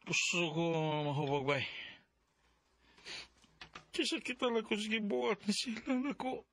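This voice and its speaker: An actor playing an earnest, insecure young suitor in a Filipino radio drama, with a husky voice and a Bisaya accent. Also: male voice, high voice, big pitch swings, unhurried, sharp tone, full character voice. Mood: drunken, bitter.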